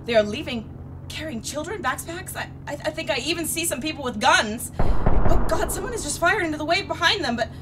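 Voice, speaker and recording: A woman's voice: high-pitched, animated, brisk, distant mic. A woman playing a radio traffic reporter in an audio drama, reporting live as things unfold. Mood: alarmed, panicked.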